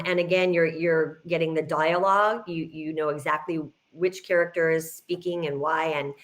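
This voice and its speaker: Lower-pitched middle-aged woman, explanatory. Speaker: guest speaker, kindergarten teacher. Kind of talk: informal educational talk, answering questions.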